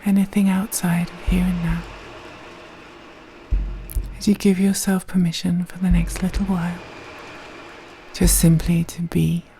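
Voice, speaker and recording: A woman gently leading a guided meditation in a soft, breathy voice, speaking slowly and soothingly with long pauses. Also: lowish voice, level tone, a little hiss or hum. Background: soft meditation music.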